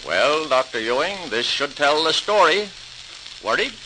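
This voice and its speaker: An actor playing an authoritative senior official who presides over scientific tests, formal and decisive, in an old radio docudrama. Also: male voice, fairly high pitch, sing-song, unhurried, pushed and bright, exaggerated voice. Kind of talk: 1940s-style radio docudrama acting. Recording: noisy, sizzling old-time radio recording.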